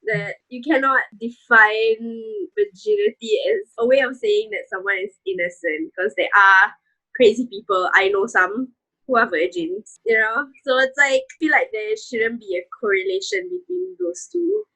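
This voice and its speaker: Breathy, casual Singaporean young woman. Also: on the high side, animated, normal speed, bright, forceful tone, low-quality recording. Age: 20s. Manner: casual, direct, with "like" and "I feel". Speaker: panel guest. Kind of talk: casual panel discussion.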